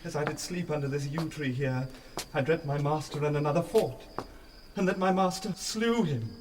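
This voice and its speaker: A man's voice: fairly high pitch, moderate pace, background noise, close to an everyday voice. A man playing a timid, fearful young servant in classical Shakespearean radio drama, nervous and hesitant. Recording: slightly distant, faint night ambience.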